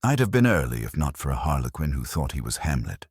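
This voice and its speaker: A man's voice: extremely low pitch, very animated, fast talker, breathy edge, no room sound, clean studio sound, full character voice. A man voicing a streetwise detective's assistant in an audiobook, wry, clipped and flippant. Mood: defensive, wry. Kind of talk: audiobook character acting.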